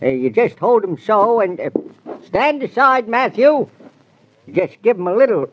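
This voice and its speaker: An actor playing a folksy, talkative old farmhand in rural dialect, in 1940s radio acting style. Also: male voice, sits high, sing-song, normal speed, slight background noise. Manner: rural dialect, spins homespun yarns. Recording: dull 1940s radio recording.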